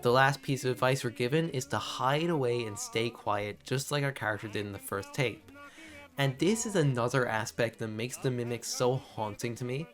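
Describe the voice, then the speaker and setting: Guy doing a video essay voiceover, mid pitch, chatty and confiding, music playing behind him. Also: moderate pace, a bit bright. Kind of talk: scripted analysis narration with casual asides.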